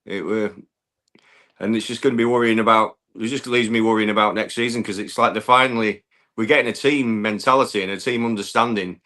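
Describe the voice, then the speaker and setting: A man speaks in a hoarse, fairly deep voice. He talks casually, like a fan who has phoned in to a football call-in show. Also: steady tone, fairly quick, sharp tone. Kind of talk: casual chat.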